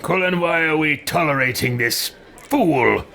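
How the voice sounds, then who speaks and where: A man's voice: fairly high pitch, unhurried, gravelly, pushed and bright, slight background noise, exaggerated voice. A man roleplaying an arrogant, easily irritated video game hero who thinks himself a god, imperious and disdainful. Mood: contemptuous.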